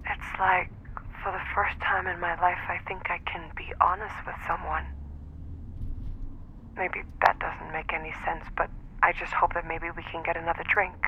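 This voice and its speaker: A vulnerable, earnest woman on a voicemail in an audio drama. The sound is dull and lo-fi, and her pitch stays fairly flat. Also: normal speed. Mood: earnest, wistful. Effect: voicemail filter. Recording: muffled phone voicemail, faint noise.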